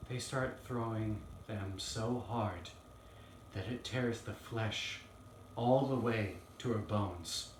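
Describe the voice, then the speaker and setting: Male actor as earnest young trial lawyer addressing a jury; low-pitched, solemn, halting stage monologue, roomy sound. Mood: grave, hushed. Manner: solemn, halting, with weighty pauses. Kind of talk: dramatic courtroom monologue performance. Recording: roomy, distant mic, faint noise.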